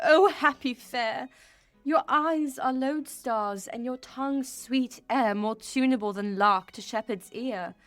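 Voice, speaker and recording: A young woman playing an envious, lovesick, rejected maiden in a radio play of Shakespeare, with plaintive verse delivery. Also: on the high side. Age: young adult.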